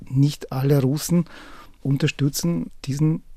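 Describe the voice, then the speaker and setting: A man from southern Ukraine, speaking in slightly broken phrases and a warm-hearted way as a radio guest. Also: fairly high pitch, fairly even intonation, a little slow, no echo. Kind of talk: radio interview.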